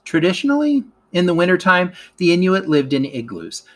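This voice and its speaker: A man's voice: high-pitched, wildly swooping pitch, brisk. A man narrating a scripted educational lesson as a teacher reading aloud.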